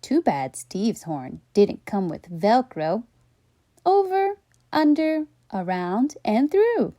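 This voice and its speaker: A woman reading a storybook aloud for children in an expressive way, with playful asides. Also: a little high, slow, no room sound, clean studio sound. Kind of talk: animated storybook read-aloud.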